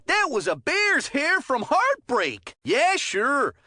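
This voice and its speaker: Excitable, high-pitched folksy hockey commentator. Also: takes it slowly, no echo, clean studio sound, full character voice. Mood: excited, upbeat. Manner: folksy, chatty, eager, slangy interjections. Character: excitable, folksy kids' hockey game commentator, cheerful and wholesome. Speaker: sports commentator. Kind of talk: animated sports game commentary.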